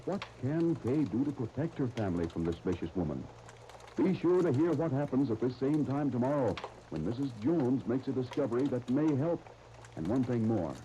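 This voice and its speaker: Mellow American man, vintage radio announcer. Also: mid-range voice, dull audio. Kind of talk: 1930s radio announcing and scripted sponsor pitch. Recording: noisy 1930s radio recording.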